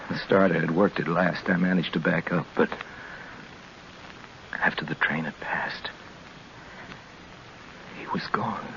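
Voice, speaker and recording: An actor playing a frightened lone male motorist in a 1940s radio drama, telling his tale in a halting, anxious way. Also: sits low, steady tone, vocal fry, a little hiss or hum, heavily acted. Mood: shaken. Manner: halting, anxious, stammering under strain. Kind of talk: 1940s radio drama acting, first-person narration. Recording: dull 1940s radio recording, loud music.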